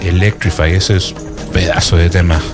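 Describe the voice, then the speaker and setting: A man's voice: deep voice, flat delivery, normal speed, over music. A man in his forties with a Chilean accent, hosting a casual radio show and chatting between listener messages. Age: 40s. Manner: chatty, reads listener messages aloud with friendly asides. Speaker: radio host.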